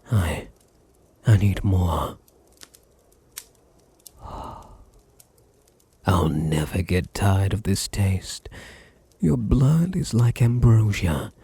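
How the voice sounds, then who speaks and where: A British man voicing a possessive, seductive vampire noble in an intimate ASMR roleplay, teasing and soothing, often dropping into a breathy whisper. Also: low voice, mellow, full character voice. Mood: teasing, hungry. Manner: slow, soothing, teasing, condescendingly tender. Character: dominant, possessive, seductive male vampire noble doting on his servant.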